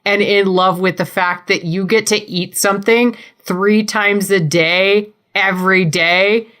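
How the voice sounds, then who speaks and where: A woman's voice: medium pitch, fairly even intonation, unhurried, fairly bright tone. A woman co-hosting a podcast, chatting casually with the panel. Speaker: podcast co-host.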